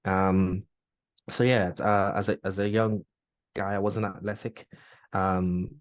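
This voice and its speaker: Deep-voiced man, candid and halting. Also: steady tone, no echo, highs cut off. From Caribbean, grew up in Grenada. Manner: reflective, candid, halting with many fillers and restarts. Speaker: podcast guest, military veteran. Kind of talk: casual interview chat.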